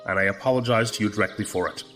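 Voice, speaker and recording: An actor playing a seasoned, fatherly admiral of a space empire in an audio drama, measured and authoritative. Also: lowish voice, music in the background, lightly acted. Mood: apologetic, measured.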